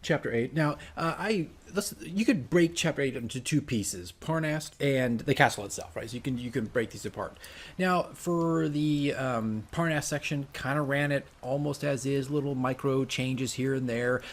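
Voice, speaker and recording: Man, casual advice vlog, chatty, self-correcting, frequent tag questions, game master, mid-pitched voice. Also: moderate pace. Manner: chatty, self-correcting, often tags sentences with "right?". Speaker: dungeon master giving game tips.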